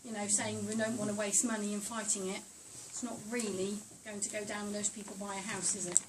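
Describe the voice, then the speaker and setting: A woman's voice: medium pitch, little pitch movement, a little fast. A woman speaking up as a resident at a public meeting, insistent and rhetorical. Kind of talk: public comment. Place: council meeting hall. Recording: distant mic with background noise.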